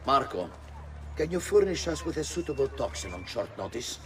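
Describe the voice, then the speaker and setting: An actor playing a commanding, menacing older Renaissance conspirator in a video game, speaking imperiously and deliberately. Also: male voice, mid-range voice, very animated, a little hiss or hum. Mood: businesslike. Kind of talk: video game cutscene acting. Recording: music under the voice.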